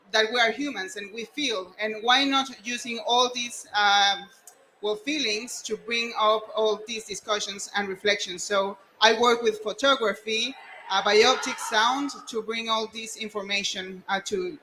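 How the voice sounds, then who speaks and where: A woman's voice: a little high, sharp tone, a little hiss or hum, rough recording. A woman scientist speaking spontaneously on a panel, earnest and searching for her words.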